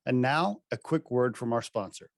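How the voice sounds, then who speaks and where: A man's voice: medium pitch, lively intonation, moderate pace, creaky, no echo, clean studio sound. A man hosting a podcast, asking casual, chatty interview questions. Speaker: podcast host.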